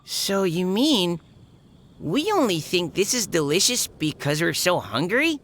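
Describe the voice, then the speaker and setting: A woman's voice: fairly low pitch, very animated, unhurried, a little hiss or hum. An actress playing an eager young woman adventurer who cooks for her party, in a video game cutscene.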